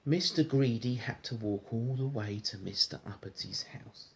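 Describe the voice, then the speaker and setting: A man's voice: mid-range voice, normal speed, creaky, slight background noise. A man reading a storybook aloud to a child, chatting in a coaxing way with frequent little questions. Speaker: parent reading a bedtime-style story to a child.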